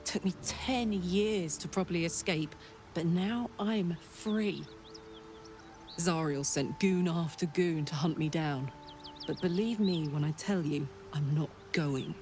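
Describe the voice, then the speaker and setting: A woman's voice: on the low side, slow pace, a little mellow, soft background music. An actress playing a brash, fierce female warrior escaped from hell in a video game, blunt, sweary and informal in manner. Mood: defiant. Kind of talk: video game character acting.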